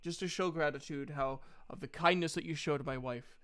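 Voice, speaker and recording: A man voicing an earnest, devoted bard husband who speaks haltingly and sincerely in a tabletop game. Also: on the high side, a bit bright, clean tone, pitched below the performer's own voice, close to an everyday voice. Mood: earnest, grateful.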